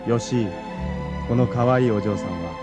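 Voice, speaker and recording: An actor playing a suave, courteous French film star in a radio drama, speaking politely and gallantly. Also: male voice, mid-range voice, moderate pace, over music, lightly acted. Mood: gallant, amused. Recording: dull lo-fi old radio recording.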